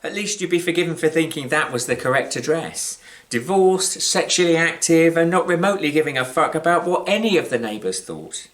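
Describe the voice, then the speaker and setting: A British man reads his own short story aloud in a measured, wry way, with deliberate pauses and varied emphasis. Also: a little high, animated, fairly bright tone. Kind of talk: scripted literary storytelling, live reading.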